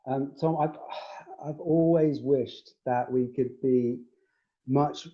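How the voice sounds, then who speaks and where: A man's voice: mid-range voice, fairly even intonation, takes it slowly, lo-fi sound. A man speaking as a leadership candidate at a hustings, earnest and hesitant, with many fillers. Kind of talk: hustings speech.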